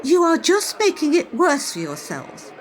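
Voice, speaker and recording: An actress playing a stern, bossy care home warden in a radio play, commanding, clipped and condescending. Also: female voice, high-pitched, wildly swooping pitch, unhurried, a crowd in the background, full character voice.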